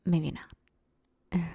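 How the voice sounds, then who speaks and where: A woman's voice: on the low side, fairly even intonation, extremely slow, creaky, mellow, slightly rough, dry recording, heavily acted. A woman playing an awkward, broke but friendly supervillain neighbor in a roleplay audio drama, rambling casually and hesitantly as she angles for favors. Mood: sheepish, casual.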